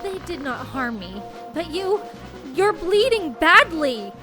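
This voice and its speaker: Woman acting a very high, plaintive noble girl. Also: expressive, takes it slowly, soft background music. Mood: anxious, concerned. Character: young noble girl, frightened, tender-hearted little sister. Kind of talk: theatrical fantasy dialogue in a fan dub.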